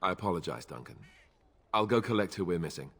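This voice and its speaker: An actor playing a young, earnest and wry warrior knight in a video game, politely spoken. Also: male voice, deep voice, sing-song, fast talker, vocal fry, fairly bright tone, husky, full character voice. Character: young Templar-trained Grey Warden, earnest, wry. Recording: long reverb, music behind. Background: game music.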